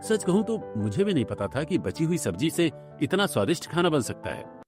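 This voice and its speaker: Man playing animated young food-loving husband. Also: a little high, normal speed, music in the background. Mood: pleased. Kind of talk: cartoon dialogue.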